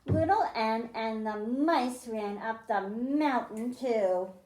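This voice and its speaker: Woman, children's librarian, unhurried sing-song reading, roomy sound. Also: on the high side, a little mellow, a little hiss or hum. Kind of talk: reading a picture book aloud to children. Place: library room.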